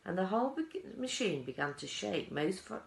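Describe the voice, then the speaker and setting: A woman's voice: medium pitch, very animated, normal speed, creaky. A woman reading a children's story aloud as a teaching assistant, expressively leaning on the descriptive words. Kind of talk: storybook narration, read aloud. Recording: roomy, echoing indoor sound.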